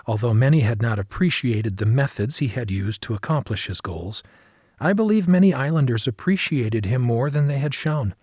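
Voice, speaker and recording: Man, audiobook narration, husky voice, earnest, measured reading, dry close recording. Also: mid-range voice, lively intonation, darkish tone, no room sound. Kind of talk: scripted memoir narration.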